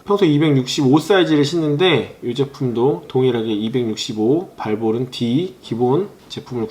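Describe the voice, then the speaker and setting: A man calmly walking through a sneaker review, explaining details as he handles the box and shoes, softening his opinions as he goes. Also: medium pitch. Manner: calm, explanatory, hedging opinions; handling items while describing them. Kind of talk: product review vlog.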